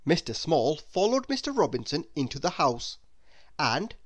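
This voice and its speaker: A storyteller reading a children's book aloud in an expressive, measured manner. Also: medium pitch. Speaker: storybook narrator. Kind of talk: children's read-aloud narration.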